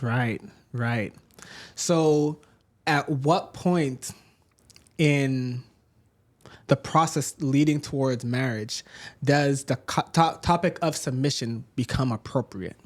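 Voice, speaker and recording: A man's voice: medium pitch, takes it slowly, long pauses. A man of about thirty, hosting a casual podcast chat and steering it with questions. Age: 30s.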